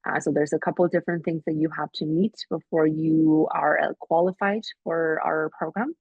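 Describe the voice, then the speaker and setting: A woman hosts an admissions webinar and walks through deadlines and rules in a practical, advising way, full of fillers. Her voice is husky with a gravelly edge. The sound is dry and muffled, like a video call mic. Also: sits low, fairly even intonation, fairly quick, dry recording. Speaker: admissions staff host. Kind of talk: webinar presentation. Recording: muffled, dull webinar audio.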